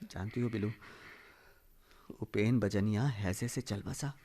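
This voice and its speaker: An earnest, weary village schoolteacher. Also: male voice, fairly low pitch, steady tone, measured pace, mellow. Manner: earnest, repeats words for emphasis. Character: weary, selfless village schoolteacher and young father. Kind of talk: Hindi radio drama acting.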